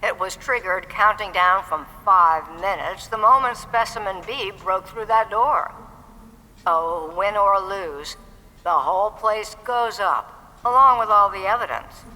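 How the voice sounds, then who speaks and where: In a long, echoing hall, a menacing audio drama villain played by an actor speaks with slow deliberation. The tone is cold and taunting, with a bright, hard edge. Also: mid-range voice. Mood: gloating menace.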